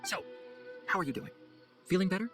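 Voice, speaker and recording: Young head ranger, quick lively talker, dry and exacting, game cutscene. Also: male voice, high voice. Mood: calm, explanatory. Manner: articulate, dryly sarcastic, instructive. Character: brisk, clever, exacting young male chief forest ranger. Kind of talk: video game cutscene acting. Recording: faint music behind the voice.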